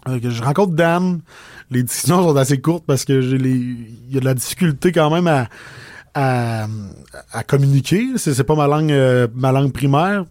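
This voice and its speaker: A man with a Québécois French accent, telling his story casually as an interview guest, with many pauses and restarts. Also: mid-range voice, darkish tone, no echo, studio quality.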